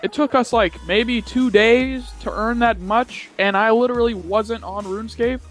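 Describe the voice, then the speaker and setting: A man's voice: extremely high pitch, moderate pace, a bit bright, soft background music. A young man chatting casually as he tells a gaming story, giggly and rambling, often repeating himself. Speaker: gamer telling a story.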